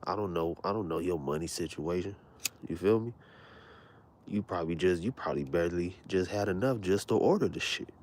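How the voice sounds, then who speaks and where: A young man with a deep voice, telling rambling, profane stories in a laid-back, casual way, keeping his voice low so he does not wake anyone at home at night. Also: fairly quick, a little mellow. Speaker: vlogger. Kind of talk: casual late-night storytelling.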